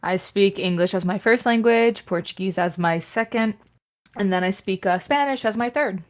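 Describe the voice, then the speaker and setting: Female podcast co-host, average pitch, relaxed conversational delivery. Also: moderate pace. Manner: relaxed, hesitant, with fillers and laughs. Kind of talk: casual chat.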